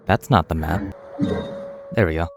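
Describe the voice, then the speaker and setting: An actor voicing a calm, observant young traveling knight in a video game. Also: deep voice, measured pace, vocal fry, loud music behind the voice. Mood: calm, concerned.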